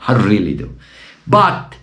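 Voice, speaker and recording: Passionate Italian man, lively slow delivery. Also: mid-range voice. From Italy. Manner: emotional, passionate, bursts into shouted appeals. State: losing his voice. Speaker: football fan vlogger. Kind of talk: impassioned fan commentary to camera.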